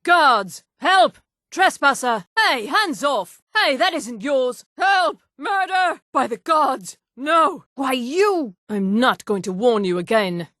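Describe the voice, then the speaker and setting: An actress playing a haughty, hot-tempered high elf woman in a fantasy video game, with a scornful, theatrical delivery. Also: big pitch swings, takes it slowly, fairly bright tone, dry recording, clean studio sound, heavily acted. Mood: panicked, alarmed, then indignant, outraged.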